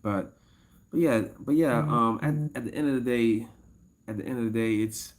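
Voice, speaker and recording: A man in his early fifties chats loosely with his live audience, looping back over the same points and breaking into laughs. He talks like a coach promoting his next class, relaxed and unscripted, at an ordinary middle pitch for a man. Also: normal speed. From United States. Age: 50s. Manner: rambling, repeats himself, laughs often. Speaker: MMA fighter and coach streaming live. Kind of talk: casual livestream chat.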